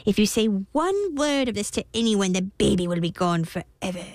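A woman's voice: medium pitch, animated, darkish tone, dry recording, studio quality, natural voice. A woman voicing a scheming, mocking little male imp in a storybook reading, drawing out her words. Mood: taunting. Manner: drawn-out, taunting delivery.

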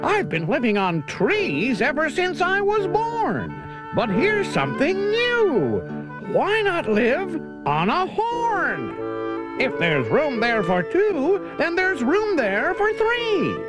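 Dude doing a cocky lil bird, high chirpy voice that jumps all over, music under it. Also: male voice, wildly swooping pitch, takes it slowly, exaggerated voice. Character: brash fresh little male bird.

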